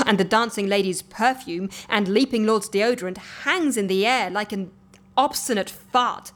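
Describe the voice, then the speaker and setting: An actress playing a brisk, droll, capable woman who gently bosses an eccentric office in an audio comedy. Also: medium pitch, close to an everyday voice. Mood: gleefully droll. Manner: droll, organised, gently bossy. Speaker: actor in a comedy fiction podcast. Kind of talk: audio comedy drama acting.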